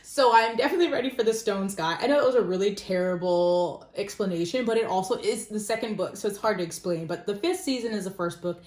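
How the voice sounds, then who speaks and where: A woman's voice: mid-range voice, quick pace, few pauses, a little mellow. A woman giving a casual, self-deprecating book review in an informal, conversational way. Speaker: book vlogger. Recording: roomy echo.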